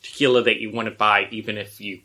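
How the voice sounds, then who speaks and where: Bright-voiced man chatting on a podcast. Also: fairly low pitch, little pitch movement, a little fast. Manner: enthusiastic, rambling with restarts. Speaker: podcast host. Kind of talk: casual chat.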